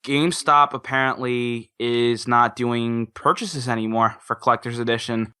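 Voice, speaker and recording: A man with a bright, forward voice at a middling pitch talks casually as a gaming podcast panelist. His delivery is excitable and comes in bursts, stopping and starting as he goes. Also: moderate pace, smooth.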